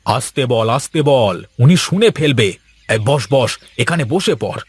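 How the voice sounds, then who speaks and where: A man voicing a brisk, bossy friend in a fairy-tale cartoon, impatient and hushing his companion. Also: mid-range voice, animated, husky, no room sound. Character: brisk, bossy friend guiding through the jungle.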